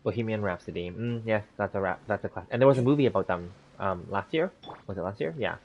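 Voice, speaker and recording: Low-voiced man rambling on a stream. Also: quick pace, a little mellow. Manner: rambling, chatty, lots of fillers and restarts; laughs easily while storytelling. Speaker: gaming streamer. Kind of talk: casual livestream chat with viewers. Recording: dull lo-fi stream audio.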